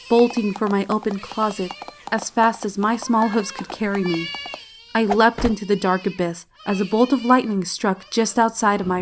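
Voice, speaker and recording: A woman's voice: mid-range voice, close to an everyday voice. Woman as a frightened pony filly over noisy effects. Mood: terrified, tearful. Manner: solemn, dramatic, emotive delivery. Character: frightened little pony filly, tearful and trembling. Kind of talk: first-person dramatic fanfiction horror narration. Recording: clear noise and sound effects behind. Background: storm and animal sound effects, noise.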